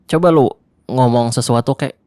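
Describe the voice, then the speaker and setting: A young man performing an ASMR roleplay monologue in casual slangy speech, tense and hushed at times, close to the mic. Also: mid-range voice, moderate pace, dry recording. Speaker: voice actor.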